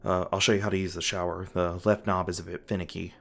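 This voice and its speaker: A man's voice: low voice, level tone, fast talker, gravelly. A man playing an awkward, protective young monster hunter, speaking bashfully to the listener with frequent hesitations in an audio roleplay. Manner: hesitant, bashful, stumbling over words. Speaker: roleplay voice actor.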